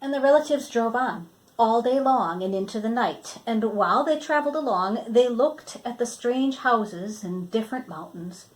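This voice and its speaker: A woman's voice: fairly high pitch, lively intonation, normal speed, low-quality recording. An older woman reading a children's storybook aloud, expressively, drawing out words for emphasis. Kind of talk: read-aloud storybook narration.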